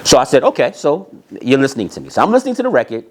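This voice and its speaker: A man with a medium-pitched voice tells a story with very lively, swinging intonation. He is emphatic, repeats phrases to drive them home, and slips into reported dialogue as he goes. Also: rapid-fire. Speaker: storyteller, music industry executive. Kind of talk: animated anecdotal storytelling.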